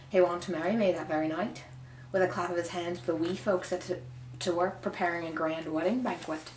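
A woman's voice: on the low side, natural voice. A woman voicing a dreamy, wry storybook heroine recounting her adventure, in an amateur put-on Irish accent. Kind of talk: dramatic storybook read-aloud. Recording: roomy echo, some background noise.

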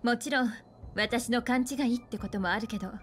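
A woman's voice: fairly high pitch, steady tone, a little fast, a bit bright, slight background noise, natural voice. An actress playing a composed, assured woman who mentors a space crew in a Japanese anime game.

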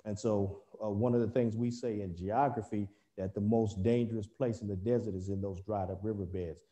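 A man gives a Bible lesson to a remote congregation over a video call, his voice low-pitched and very dark and mellow. He talks in a halting way, full of fillers, restarts and corrections as he works through the passage. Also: fairly even intonation. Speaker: preacher.

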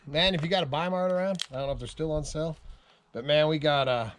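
A man's voice: fairly high pitch, fast talker, a bit bright, a little hiss or hum. Folksy middle-aged American man outdoors. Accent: American Western rural. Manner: folksy, says "man" a lot, laughs often. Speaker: homestead vlogger. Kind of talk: casual chat. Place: outdoors in a sunny backyard. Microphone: camera on tripod at a distance.

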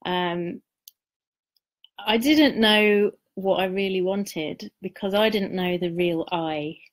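A middle-aged woman giving an informal, personal live-stream talk as a coach, speaking in a reflective, confiding way with halting pauses and repeated words. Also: medium pitch.

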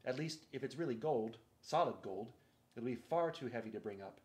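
A man voicing a sharp, authoritative young king in a storybook reading, brisk and practical. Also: mid-range voice, lively intonation, natural voice. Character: sharp, commanding boy king.

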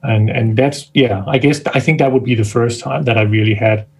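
Danish-raised guy, kinda husky, talks slow and careful, lots of restarts. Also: medium pitch, darkish tone. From Denmark. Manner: thoughtful, searching for words, frequent restarts. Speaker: guest scientist. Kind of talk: casual interview talk.

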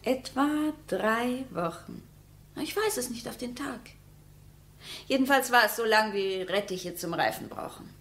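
Radio play: bitter, mocking wife, highish pitch, swooping intonation, pointed and precise. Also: female voice, expressive, measured pace, a bit bright. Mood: dry, reproachful. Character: sceptical, needling wife in a bitter marriage. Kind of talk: radio drama acting.

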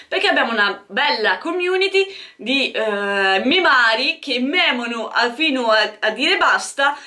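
A young woman presenting a vlog in a casual, chatty, slangy way, with animated delivery. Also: on the high side. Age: young adult. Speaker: vlogger and streamer. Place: indoor room, seated on a sofa.

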